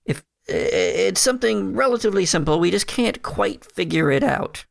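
A man voicing a calm male captor who questions a prisoner, pausing and hesitating with fillers. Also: sits high, creaky, no echo, clean studio sound, voice pushed up, exaggerated voice. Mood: halting.

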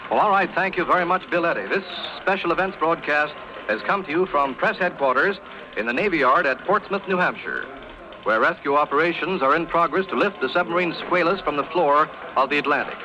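A man's voice: fairly high pitch, expressive, brisk, pushed and bright, muffled recording. A man reporting and interviewing in the formal style of 1930s radio news. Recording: noisy 1930s radio broadcast.

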